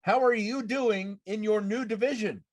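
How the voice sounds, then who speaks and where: Male gaming podcast co-host, somewhat higher pitched, casual and teasing, question-led banter. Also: normal speed. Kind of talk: casual gaming chat on a live stream. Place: indoor room at home, on a video call.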